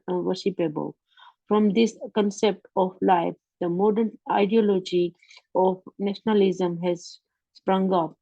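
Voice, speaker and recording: A woman from India reads scripture aloud in a low, mellow voice, fixing her small slips as she goes. The recording is dry and dull, with the top end cut. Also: dry recording. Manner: steady reading with small slips and corrections. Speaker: scripture reader. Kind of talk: reading aloud from a book.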